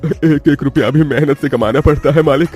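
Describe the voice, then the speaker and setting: Casting: male actor as humble, worried middle-aged labourer dad for a cartoon tale; husky, plaintive, faint music behind. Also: a little high, fairly even intonation, a little fast, a little mellow. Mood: pleading protest. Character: poor, anxious, humble labourer father of daughters. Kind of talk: dramatised Hindi moral cartoon story.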